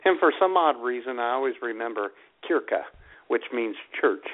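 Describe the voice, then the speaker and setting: A man's voice: medium pitch, animated, moderate pace, phone-quality sound. A man co-hosting a comedy radio show, bantering casually, heard through thin, band-limited audio.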